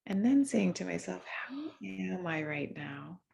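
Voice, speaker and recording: Soft-spoken woman with a gravelly edge. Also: fairly low pitch, very animated. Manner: soft, unhurried, with frequent ums and restarts. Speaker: workshop facilitator. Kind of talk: gentle guided mindfulness talk.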